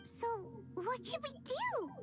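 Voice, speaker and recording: A woman voicing a tiny excitable fairy companion girl in a game, squeaky and emphatic. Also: slow pace, over loud music, heavily acted. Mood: alarmed.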